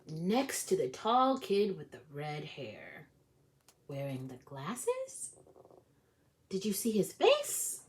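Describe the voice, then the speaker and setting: A woman voicing excited, gawking schoolchildren from a storybook, exclaiming and gossiping in hushed tones. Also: lowish voice, drawn-out words, pauses often, exaggerated voice. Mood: excited, curious. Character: excited gawking school children, gossiping about a famous classmate.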